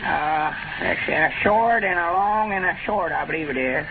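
An actor in a 1930s radio comedy playing a folksy, gossipy old country grandpappy with a rural Southern American accent, plain-spoken and meddling. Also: male voice, fairly high pitch, moderate pace, creaky, narrow, tinny audio. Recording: thin crackly 1930s radio broadcast, heavy noise.

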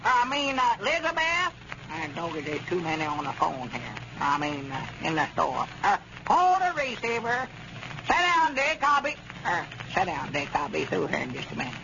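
A man playing a crotchety but soft-hearted, muddled old storekeeper with a rural Arkansas accent, in 1930s radio comedy style. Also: high voice, wildly swooping pitch, normal speed, gravelly, heavily acted. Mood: flustered. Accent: rural Southern US, Arkansas hill country. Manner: folksy, flustered, muddled stammering. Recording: muffled, noisy 1930s radio recording.

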